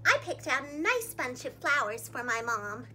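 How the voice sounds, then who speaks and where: A young woman reads a story to little children like a preschool teacher. Her voice is very high and swings widely in pitch, with expressive, dramatic phrasing. It is recorded in a room with a faint hum of noise. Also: moderate pace. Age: young adult. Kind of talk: animated read-aloud for young children. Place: small indoor room, seated against a plain wall.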